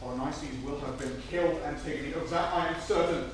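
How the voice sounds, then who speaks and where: An actor playing a devoted young prince, earnest and pleading, in a stage tragedy. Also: male voice, on the high side, steady tone, brisk, close to an everyday voice. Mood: grave, certain. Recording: very echoey hall, distant mic, faint noise.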